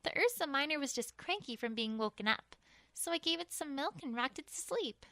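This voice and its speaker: A woman's voice: high voice, animated, brisk, sharp tone, dry recording, exaggerated voice. A woman voicing a friendly, studious young adult female pony in an audio story, earnest and articulate. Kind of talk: acted audio story dialogue.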